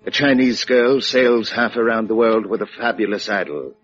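An actor playing a suave, sinister gentleman villain in a 1950s radio drama, formal, precise and pompous. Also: on the low side, moderate pace, natural voice. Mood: menacing, precise. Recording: muffled old radio recording with music.

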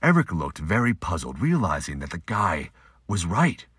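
A male narrator tells an audio drama story. His voice is extremely deep and rough-edged, with lively rises and falls, recorded dry and close. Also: normal speed, dry recording.

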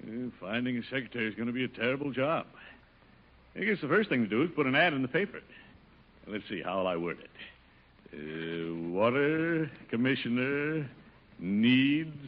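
An actor playing a pompous, exasperated middle-aged water commissioner in a radio comedy, with an American accent, a husky voice and blustering, sighing exclamations. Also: male voice, mid-range voice, lively intonation, moderate pace, long pauses, lightly acted. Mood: muttering, worried. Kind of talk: 1950s radio situation comedy acting. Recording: muffled old 1950 radio recording.

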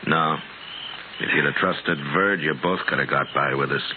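An actor playing a stern, grave Old West marshal in a 1950s radio drama. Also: male voice, rumbling low, moderate pace. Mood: grim, calm. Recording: lo-fi old radio, noisy background.

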